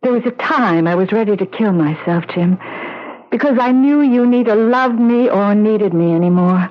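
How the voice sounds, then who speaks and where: An actress playing a devoted, long-suffering wife with an earnest, pleading manner, in old-time radio drama style. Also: female voice, medium pitch, normal speed, natural voice. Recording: dull vintage radio recording.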